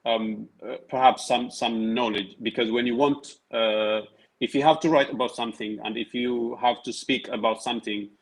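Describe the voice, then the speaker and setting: A man in his thirties who grew up in Tigray and speaks Tigrinya as his first language. He talks as an interview guest in a measured, hesitant way, with many fillers and restarts. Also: mid-range voice, steady tone, low-quality recording. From Tigray, Ethiopia. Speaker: guest, writer and PhD researcher. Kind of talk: casual interview conversation.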